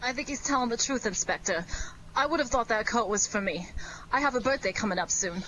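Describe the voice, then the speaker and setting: A woman's voice: on the high side, lively intonation, a little fast, highs cut off, noisy background, natural voice. A woman playing a sardonic, miffed young lady friend of a sleuth, dry and sarcastic, in a 1940s-style radio drama. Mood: conciliatory.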